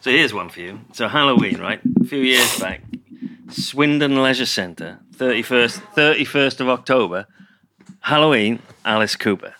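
A man's voice: mid-range voice, lively intonation, moderate pace, sharp tone. A man chatting casually on a podcast. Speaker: podcast speaker. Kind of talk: casual chat.

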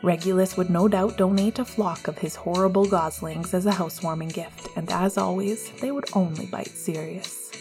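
Female narrator for romance fiction: low, husky, gentle and intimate, faint music underneath. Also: fairly even intonation, moderate pace, hardly any pauses. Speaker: amateur audiobook narrator. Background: soft music.

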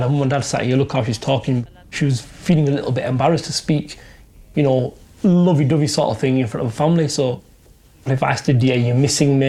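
A man's voice: medium pitch, mellow. A middle-aged British man telling a personal story in an informal, colloquial, conversational way. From UK, Pakistani family. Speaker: interviewee.

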